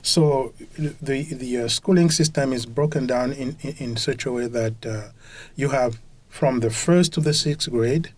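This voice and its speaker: A man in his fifties from Congo, a college professor being interviewed, talking with many pauses and fillers. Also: mid-range voice. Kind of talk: conversational interview.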